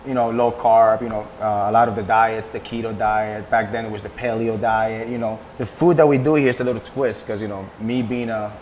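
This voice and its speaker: A man's voice: medium pitch, a little hiss or hum. A man from the Dominican Republic, a restaurant owner talking loosely with many fillers in an interview. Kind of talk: casual interview.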